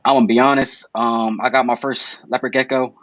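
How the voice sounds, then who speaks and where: A man's voice: mid-range voice, level tone, fairly quick, a bit bright, dry recording, lo-fi sound. A man in his twenties, a guest reptile breeder chatting casually on a video-call podcast, talking in a laid-back, rambling way with many fillers. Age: 20s.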